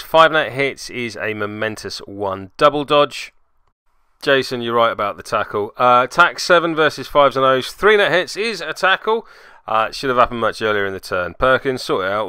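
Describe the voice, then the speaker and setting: Male game narrator, wry and self-mocking, clear forceful delivery, dry close-miked sound. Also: mid-range voice, moderate pace, dry recording. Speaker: host narrating a tabletop game battle report. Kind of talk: casual recorded commentary.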